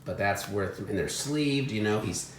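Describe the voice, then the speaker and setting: Deep-voiced man, casual and keen, roomy sound. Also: expressive, measured pace. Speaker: co-host of a thrifting haul video. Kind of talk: casual unscripted chat and commentary. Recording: roomy echo, some background noise.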